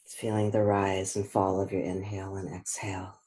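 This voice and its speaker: A woman leading a guided meditation, speaking gently and without hurry, leaving long pauses between phrases. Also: extremely low pitch, level tone. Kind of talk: guided meditation, slow and spoken softly.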